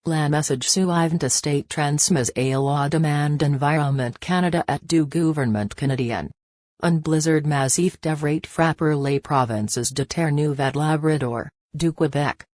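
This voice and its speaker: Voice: medium pitch, darkish tone, dry recording. An announcer of unclear sex reading a scripted emergency broadcast in a formal, synthetic-sounding delivery.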